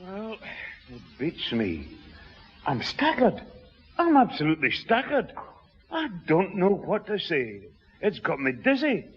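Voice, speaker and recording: An actor playing a grumpy, blustering old grandfather with a husky voice in a 1960s radio sitcom. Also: high-pitched, huge pitch swings, unhurried, pauses often, full character voice. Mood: bewildered. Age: older. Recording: muffled, reverberant old radio recording.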